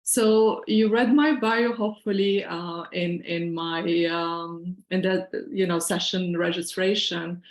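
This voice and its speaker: A woman's voice: on the low side, fairly even intonation, slow pace, hardly any pauses, reverberant. A middle-aged woman from Syria with a Syrian accent, presenting an online financial wellness workshop in an earnest, explanatory, conversational way over a video call.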